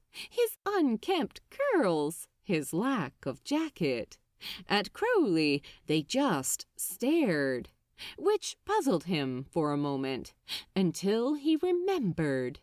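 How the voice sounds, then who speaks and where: A woman narrates a story in an expressive, dramatic style. Her fairly high voice moves through very wide pitch swings at a slow, deliberate pace. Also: dry recording, clean studio sound. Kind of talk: expressive scripted fiction narration.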